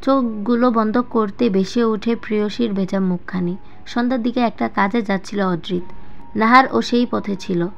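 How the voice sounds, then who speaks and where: A woman's voice: mid-range voice, moderate pace, gravelly. A woman reading a scripted dramatic story as a narrator. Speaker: storyteller, audiobook-style narrator. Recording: dull lo-fi voice-over with music. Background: background music.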